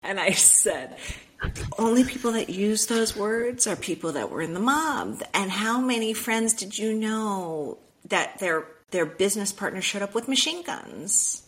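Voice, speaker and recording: A middle-aged woman from Miami, a guest author telling family stories in a chatty, animated way. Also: mid-range voice, unhurried, rough recording. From Miami, Florida. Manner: chatty, animated, acts out quoted dialogue with restarts. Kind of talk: casual storytelling interview.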